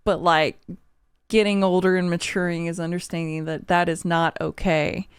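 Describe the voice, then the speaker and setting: A woman's voice: fairly low pitch, little pitch movement, normal speed, smooth, no echo, clean studio sound. Sniffly woman reacting to an album. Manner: emotional, candid, swears casually. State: sniffly from a cold. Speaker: music reaction channel host. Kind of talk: casual album reaction and review.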